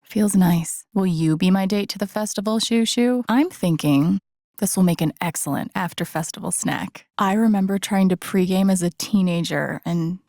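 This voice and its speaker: A woman's voice: on the low side, moderate pace, gravelly, darkish tone, dry recording, clean studio sound. An actress playing an empathetic young woman in a video game, thinking aloud in a casual, wry, understated way. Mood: casual, musing. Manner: casual, wry, muttering to herself. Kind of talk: understated video game voice acting, interior monologue.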